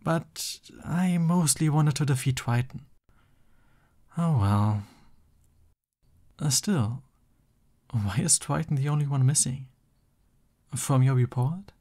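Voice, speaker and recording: Man acting a theatrical supervillain boyfriend, slightly gravelly. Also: mid-range voice, slow, long pauses, darkish tone. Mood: frustrated, pondering. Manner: theatrical, flustered, affectionate. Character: boastful but bashful young male supervillain boyfriend. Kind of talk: ASMR boyfriend roleplay acting.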